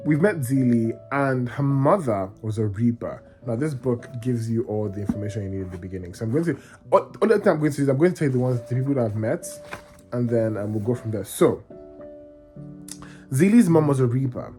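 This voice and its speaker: Excitable man gushing about books. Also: mid-range voice, brisk, faint music behind. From Nigeria. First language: Yoruba. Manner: excitable, gushing, rambling with restarts. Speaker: book vlogger. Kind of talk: casual reading vlog chat.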